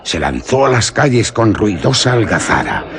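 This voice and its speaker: A man with an accent from Spain narrating a scripted suspense tale for radio theatre in a solemn, measured, literary manner. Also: fairly low pitch, sing-song, crowd noise.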